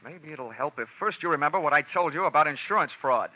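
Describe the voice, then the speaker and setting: A man's voice: on the high side, expressive, quick pace, dry recording. A man narrating and acting a hard-boiled insurance investigator in a 1950s radio drama, wisecracking and dry. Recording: dull lo-fi old radio recording with music.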